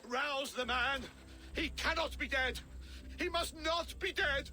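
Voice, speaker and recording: A very high-voiced medieval abbot for a video game. He is pious, fussy and easily panicked, with a sharp, piercing edge to his lamenting. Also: slow, exaggerated voice.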